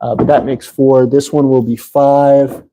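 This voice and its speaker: Man, congested, nasal, very bright voice, halting livestream host. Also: medium pitch, little pitch movement, poor audio. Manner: halting, many fillers. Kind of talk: casual livestream talk. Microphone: phone.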